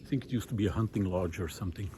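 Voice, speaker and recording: A man giving an unscripted, reflective monologue while out walking, thoughtful and hesitant, pausing often as he finds his words. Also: on the low side, noisy background. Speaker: host of a walking audio diary, former teacher. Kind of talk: unscripted reflective spoken monologue recorded on a walk. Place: outdoors, walking.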